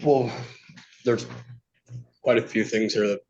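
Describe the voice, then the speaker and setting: A man giving unscripted testimony to a judge, speaking in a halting, polite way. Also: on the low side, fairly even intonation, darkish tone. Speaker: petitioner testifying in court. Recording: dull, lo-fi courtroom audio.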